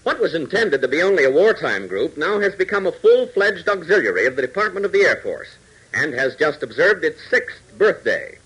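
An American man announcing and narrating a 1940s radio serial in an emphatic, dramatic, husky voice. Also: on the high side, sing-song, brisk, a bit bright, low-quality recording.

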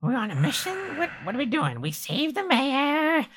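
Bright, husky, lively high-voiced woman. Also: normal speed. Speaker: tabletop game player. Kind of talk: casual in-character game chat.

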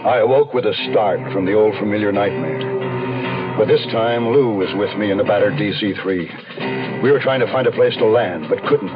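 Low-voiced hunted gambler, acted by a man, terse. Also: lightly acted. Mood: tense, uneasy. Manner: terse, brooding, dramatic pauses. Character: desperate hunted male gambler, wounded, narrating his downfall. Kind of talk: 1970s radio drama first-person noir narration. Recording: dull 1970s radio recording, music underneath.

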